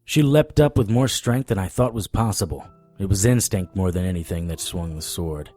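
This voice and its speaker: A weary supernatural investigator in a noir-like audio drama. His voice is low and husky, with dry, wry delivery and a very close, dry sound. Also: moderate pace, mellow, dry recording, faint music behind. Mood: grim, haunted. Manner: dry, wry first-person narration.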